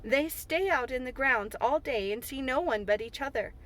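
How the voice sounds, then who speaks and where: Woman reading as a gossipy old housekeeper, blunt, a bit high-pitched. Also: moderate pace, a bit bright, low-quality recording. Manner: blunt, chatty. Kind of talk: storybook reading.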